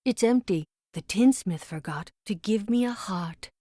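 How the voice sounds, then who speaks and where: A woman's voice: medium pitch, lively intonation, a little slow, warm tone, dry recording. Woman as a plaintive, forlorn tin man. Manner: plaintive, downcast. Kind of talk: storybook character voice.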